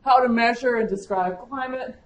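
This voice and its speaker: A woman's voice: on the high side, moderate pace. A woman with a Wisconsin accent, giving a conversational conference lecture as an agricultural climatologist, with frequent fillers. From Michigan, USA. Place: conference room. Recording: echoey lecture room.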